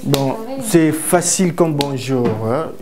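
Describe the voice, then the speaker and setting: Halting man teaching a class. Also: on the high side, a crowd in the background. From DR Congo, Kinshasa. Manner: deliberate, halting delivery with fillers. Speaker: history teacher. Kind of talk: classroom lesson.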